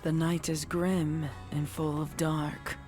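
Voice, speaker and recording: A woman reading dark horror fiction as scripted narration. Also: deep voice, little pitch movement, slow pace, soft background music.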